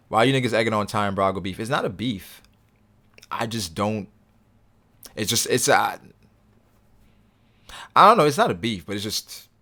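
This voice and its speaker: A young man with a fairly low voice hosts a laid-back livestream, reading out chat comments and answering them as he goes. He rambles a little and keeps the tone easygoing. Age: young adult. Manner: relaxed, rambling, reads viewer comments aloud.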